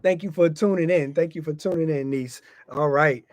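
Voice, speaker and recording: A man's voice: on the high side, normal speed, a little mellow, no echo. An American man talking casually as a podcast host, informal and repetitive, often tagging his phrases with "you know". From United States. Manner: informal, frequently repeats himself and tags phrases with "you know".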